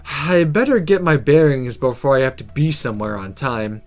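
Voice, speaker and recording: A man plays through a visual novel, reading it aloud. His pitch moves around a lot as he slips playfully into casual asides and interrupts himself, with game music underneath. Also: lively intonation, normal speed. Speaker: let's player.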